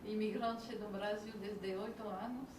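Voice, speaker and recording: A woman's voice: medium pitch, fairly even intonation, measured pace. A woman from France with a French accent, speaking in a second language as a student explaining things to a class. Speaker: student introducing herself. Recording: noisy echoey room, far from mic.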